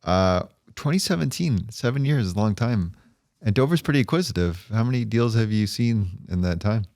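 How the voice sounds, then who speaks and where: A man hosting a business podcast, speaking in a friendly, relaxed way, recorded on location at a guest's corporate office. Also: lowish voice, normal speed, no echo, studio quality. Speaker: podcast host.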